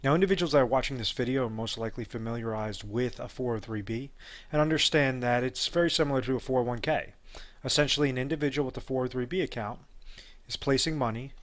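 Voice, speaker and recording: A man working as a retirement advisor who explains rollovers in an unscripted way, with frequent fillers and restarts. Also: mid-range voice. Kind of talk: explainer video talk.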